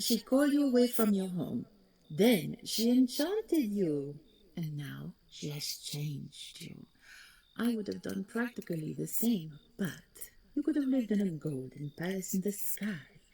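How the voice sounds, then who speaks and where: A woman playing the vain, taunting ghost of a deposed dragon queen in an audio roleplay, speaking theatrically and mockingly with a breathy voice that slips into whispers. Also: warm tone, exaggerated voice. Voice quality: low, breathy, husky, creaky. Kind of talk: dramatic audio roleplay monologue.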